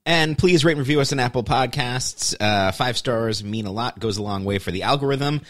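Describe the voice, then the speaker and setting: A man's voice: medium pitch, brisk. Jokey, opinionated male co-host. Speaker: podcast co-host. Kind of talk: casual chat.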